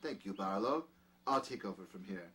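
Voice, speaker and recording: A man's voice: deep voice, lively intonation, moderate pace, a bit bright. A man playing a genteel, peculiar doctor and mansion host in an audio drama, with polite, formal phrasing. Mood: courteous, controlled. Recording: clear background noise.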